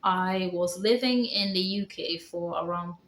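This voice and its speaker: A woman from the Netherlands with a light Dutch accent, telling her own story in a vlog in an earnest, halting way with many pauses. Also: fairly low pitch, fairly bright tone, reverberant. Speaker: vlogger.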